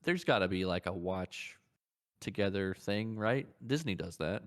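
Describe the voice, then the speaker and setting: A man's voice: deep voice, lively intonation, a little slow. A man co-hosting a podcast in casual conversation, informal and emphatic, often repeating himself for stress. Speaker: podcast co-host. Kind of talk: casual chat about games and shows.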